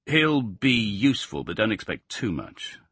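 A man's voice: on the low side, big pitch swings, measured pace, a bit bright, slightly rough, no room sound, clean studio sound, exaggerated voice. A man voicing a matter-of-fact scientist who speaks curtly and dismissively.

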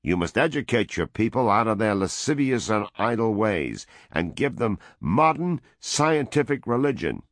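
A man voicing a domineering male world president, loud, preaching and dictatorial. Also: medium pitch, big pitch swings, slow pace, no echo, studio quality, pitched above the performer's own voice, exaggerated voice.

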